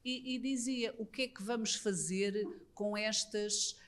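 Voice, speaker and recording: A woman's voice: mid-range voice, steady tone, measured pace. A Portuguese woman with a European Portuguese accent speaks on a conference panel, into a handheld mic. She is earnest and critical, with a mid-range voice, and she often repeats words as she builds her point. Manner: earnest, critical, with frequent restarts. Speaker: immigration lawyer, panelist. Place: embassy conference room.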